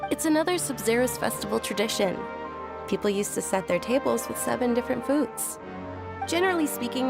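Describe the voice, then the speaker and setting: A woman playing a gentle, well-mannered young festival guide in a video game, polite and measured as she explains things. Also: on the high side, gravelly.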